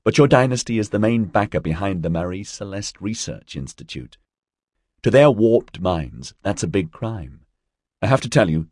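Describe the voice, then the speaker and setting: A man voicing a composed, frank and sympathetic female investigator in an audiobook, with a measured way of explaining. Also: fairly low pitch, darkish tone, slightly rough, no echo, clean studio sound, exaggerated voice.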